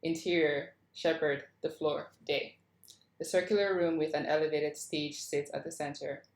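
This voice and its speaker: A woman's voice: low-pitched, fairly even intonation, normal speed, a little mellow. A woman reading screenplay stage directions aloud at a table read as scripted narration. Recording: echoey room.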